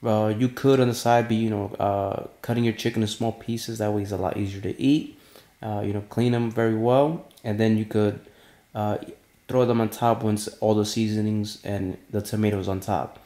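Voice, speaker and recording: A man's voice: on the low side, fairly even intonation, normal speed, warm tone. A man giving an informal how-to cooking voice-over as a fitness vlogger, addressing viewers casually.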